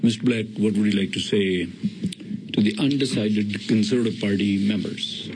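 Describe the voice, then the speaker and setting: Gravelly low-voiced male interviewer. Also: normal speed, background noise. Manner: direct, plain-spoken questions. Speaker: radio interviewer. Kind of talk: interview questions.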